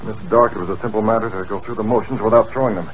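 A man's voice: lowish voice, steady tone, very fast, slight background noise, close to an everyday voice, poor audio. A man playing a masked crime-fighter posing as a racketeer in a 1940s radio play, speaking in a curt, commanding manner. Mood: calm commanding.

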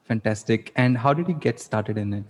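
A long reverb trails the voice of a man hosting a podcast. His pitch is average for a man, and he puts questions to a guest in a conversational style. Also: animated, normal speed. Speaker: podcast host. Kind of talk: conversational interviewing.

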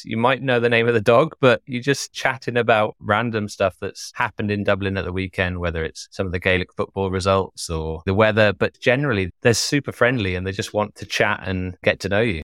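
A middle-aged man from Wales, chatting casually as a podcast guest, relaxed and quick to laugh. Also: lowish voice, dry recording, studio quality. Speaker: podcast guest, web developer. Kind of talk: casual interview chat.

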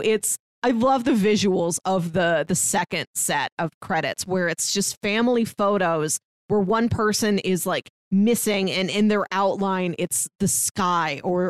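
A woman's voice: on the low side, measured pace, hardly any pauses, dry recording. A woman co-hosting a podcast, chatting casually, speaking with enthusiasm and laughing easily. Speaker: podcast co-host. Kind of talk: casual chat.

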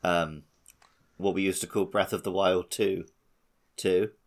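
A man's voice: sits low, steady tone, unhurried. A man hosting a casual gaming podcast, talking in a conversational way. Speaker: podcast host.

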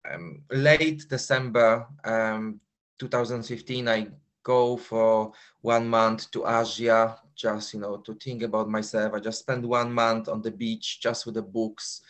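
A man in his forties with a Polish accent, a guest runner who speaks halting English, searches for words, and laughs often. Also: medium pitch, a bit bright. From Poland. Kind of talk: casual interview.

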